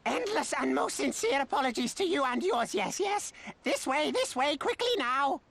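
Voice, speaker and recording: A woman's voice: high-pitched, very animated, normal speed, creaky, fairly bright tone, heavily acted. A woman voicing a shifty, fawning little beast-folk swindler in a video game, obsequiously repeating words in clipped, backwards phrases. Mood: fawning, hurried. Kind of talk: video game character acting.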